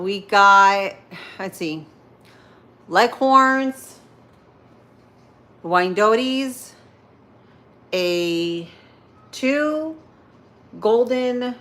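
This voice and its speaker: A woman's voice: medium pitch, a bit bright. Chatty woman with a Spanish-influenced accent. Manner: chatty, rambling, answers viewer comments as she goes. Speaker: livestream host. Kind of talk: casual morning livestream chat while cooking.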